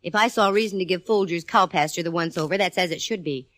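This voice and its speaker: An actress playing a wisecracking private detective who also narrates, in a brisk 1940s radio drama. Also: female voice, on the low side, a bit bright, no echo, natural voice.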